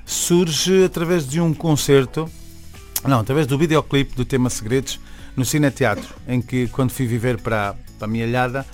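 Brief: young man, Venezuelan-tinged Beira accent, halting guest answers with fillers, faint music under. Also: mid-range voice, fairly even intonation, a little mellow. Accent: Venezuelan-tinged Beira Portuguese. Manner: hesitant, many restarts and fillers. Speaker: musician and barber, interview guest. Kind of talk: casual interview talk.

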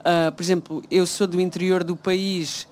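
A man with a European Portuguese accent, from the Baixo Alentejo, moderating a panel discussion at an event, talking quickly and filling pauses with "ah". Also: high voice, little pitch movement, darkish tone. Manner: quick, thinks aloud with many "ah" fillers.

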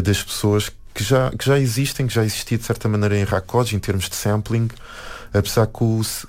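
Portuguese guy, european accent, kinda low voice, lots of stops and restarts, thinking out loud in an interview. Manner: hesitant, many restarts and fillers. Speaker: musician and anthropologist guest. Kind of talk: reflective interview talk.

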